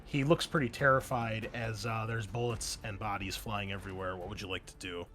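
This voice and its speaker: A man's voice: fairly low pitch, steady tone, a little fast, a bit bright, soft background music. A man narrating a tabletop roleplaying game as game master, in a casual, conversational way. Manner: casual, conversational, mild swearing.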